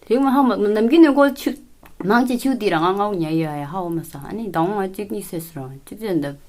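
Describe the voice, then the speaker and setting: A woman's voice: medium pitch, fairly quick, a little mellow. A woman chatting informally as the caller in a prank phone call.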